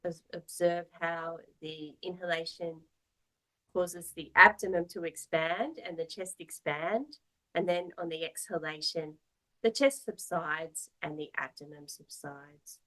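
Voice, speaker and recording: Woman, yoga teacher, guided meditation, slightly low pitch, very slow pace, gently instructive. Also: rough recording. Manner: soothing, measured, gently instructive.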